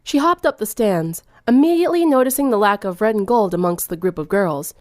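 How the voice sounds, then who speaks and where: Woman reading a story out loud, medium pitch, really dry close mic. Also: lively intonation, a little fast, dry recording. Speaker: fan fiction reader. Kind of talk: scripted story narration.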